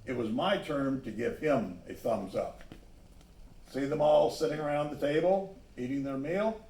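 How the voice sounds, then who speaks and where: A man reading a children's story aloud, pausing to add simple asides about the pictures. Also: mid-range voice, a little mellow. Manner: pauses to point out the pictures. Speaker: storybook reader. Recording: echoey room, distant microphone.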